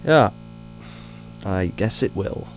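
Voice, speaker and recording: Low-voiced male professor. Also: lively intonation, slow pace, soft background music, poor audio. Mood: wry, subdued. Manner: informal, hesitant then insistent, mild swearing. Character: male ethics professor, persuasive, coaxing, stubborn. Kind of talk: naturalistic audio drama acting.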